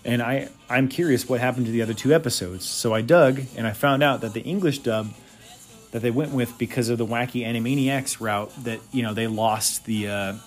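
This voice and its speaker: A man's voice: mid-range voice, a little fast, faint music behind. Blunt man reviewing cartoons. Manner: blunt, opinionated, crude humor. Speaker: podcast host. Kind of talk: casual solo review podcast.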